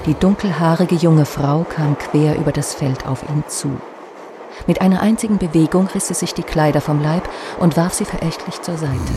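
A woman narrating a dystopian novel solemnly in a radio play, in a measured literary style. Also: sits low, noisy background, close to an everyday voice.

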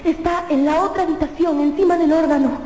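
Heavy echo and noise surround a woman acting as a frightened young captive in a radio drama. Her voice is extremely high, pleading and melodramatic. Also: fairly even intonation, brisk, close to an everyday voice. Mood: fearful pleading. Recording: echoey hall, noisy background.

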